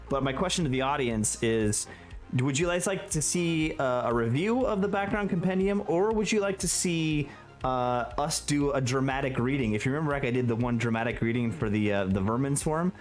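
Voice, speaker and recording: Casual male gaming host, faint music. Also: medium pitch. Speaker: host of a tabletop gaming show. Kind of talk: casual review chat.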